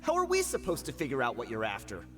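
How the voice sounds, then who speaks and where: An actor playing a cornered, shifty rank-and-file bandit in a video game, blustering and evasive. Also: male voice, medium pitch, huge pitch swings, fast, long reverb tail, over music. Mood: sneering.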